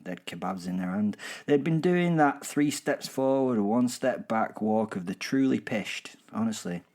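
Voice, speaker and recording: A man's voice: fairly low pitch, darkish tone. A man with a British accent voicing a gleeful, boastful werewolf killer, telling his story in a chatty, rambling way with darkly humorous asides. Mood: wry, smug. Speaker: narrator and host of a horror audio serial. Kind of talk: chatty first-person dramatic storytelling.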